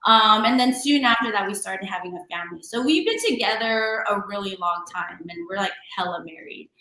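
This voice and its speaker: Woman, 40s, chatty guest, bright voice, echoey video-call room. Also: medium pitch, fairly quick, a little hiss or hum. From San Francisco Bay Area, California. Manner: chatty and warm-hearted, many fillers and restarts. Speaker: guest entrepreneur and DJ. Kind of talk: casual interview chat.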